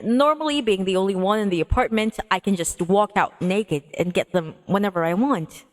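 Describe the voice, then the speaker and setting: A woman's voice: medium pitch, normal speed. A woman livestreamer reading a visual novel aloud expressively, with playful casual asides. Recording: music behind the voice. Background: music.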